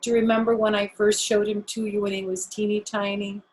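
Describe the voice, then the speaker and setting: Older female storyteller, grandmotherly role, children's read-aloud with simple explanatory asides, mid-range pitch. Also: level tone, a little fast, a little mellow, rough recording. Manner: explains words plainly to young listeners. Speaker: grandmother reading a picture book to children.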